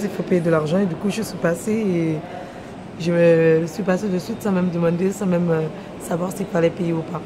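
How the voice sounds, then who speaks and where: A woman's voice: mid-range voice, noisy background. A young woman chatting to her phone camera as a travel vlogger, rambling through her day at an airport and on a plane. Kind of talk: casual vlog chat to camera. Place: airport terminal and plane cabin, indoors.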